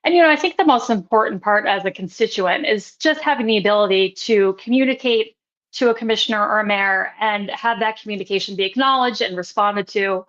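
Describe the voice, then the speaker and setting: A woman hosting a local politics podcast, interviewing a candidate in a measured way, hedging with fillers. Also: medium pitch, a bit bright, lo-fi sound. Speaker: podcast host.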